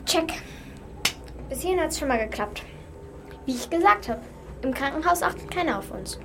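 A woman's voice: high-pitched, animated, moderate pace, music in the background. A woman playing a bossy, streetwise young girl with a brash, know-it-all manner in a children's radio play. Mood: brisk, daring.

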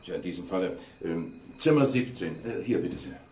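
A man's voice: deep voice, lively intonation, normal speed, background noise. An actor playing a flustered, hesitant hospital doctor in a radio crime play, stammering a little as he answers. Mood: flustered, unsure. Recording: distant, echoey room with clatter.

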